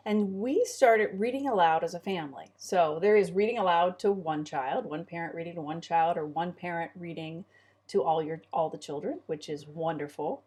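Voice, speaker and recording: Lower-voiced middle-aged woman. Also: moderate pace, a little mellow. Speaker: homeschooling parent and co-host. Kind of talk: conversational parenting talk.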